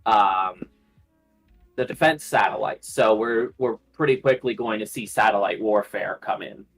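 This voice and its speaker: A man's voice: on the low side, a little slow, fairly bright tone, faint music behind, poor audio. A man in a casual speculative discussion on a livestream panel, repeating phrases as he reasons things through.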